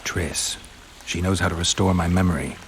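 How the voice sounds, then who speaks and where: An actor playing a stoic, world-weary monster hunter in a video game, terse and dryly understated. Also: male voice, low-pitched, moderate pace, slight background noise. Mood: resolute. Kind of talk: video game cutscene acting. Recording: rain and ambience behind the voice.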